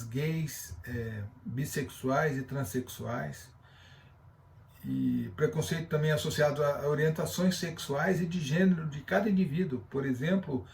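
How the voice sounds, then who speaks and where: A man with a Brazilian accent giving an explanatory class, speaking haltingly as he searches for words and reads loosely from notes. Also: mid-range voice, gravelly, darkish tone, a little hiss or hum. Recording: distant from the microphone.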